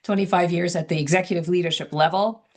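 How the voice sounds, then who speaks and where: An older woman from Germany, introducing herself in a measured, articulate way on a video call. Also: lowish voice, steady tone, darkish tone. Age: older, semi-retired. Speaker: coach, former healthcare executive. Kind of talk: self-introduction in an online meeting.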